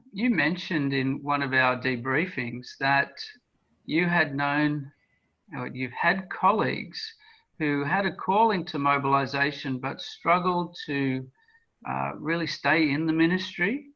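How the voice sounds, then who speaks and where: A man's voice: fairly high pitch, measured pace. A man hosting an interview, asking friendly questions in a polite, affirming way with hesitant openings. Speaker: interviewer, training course host. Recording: slightly dull lo-fi audio.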